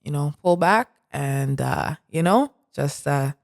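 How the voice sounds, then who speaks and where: A woman co-hosting a podcast who talks in an animated, reflective way, full of "like" fillers. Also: sits low, slow pace, gravelly, no room sound, clean studio sound. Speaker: podcast co-host. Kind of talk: casual conversation.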